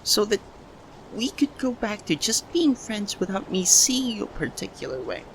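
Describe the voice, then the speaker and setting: A man playing a lovesick young man confessing to a childhood best friend in a halting ASMR roleplay monologue. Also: high-pitched, sing-song, slight background noise, poor audio. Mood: overwhelmed, pleading. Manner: halting, breaks phrases with long pauses.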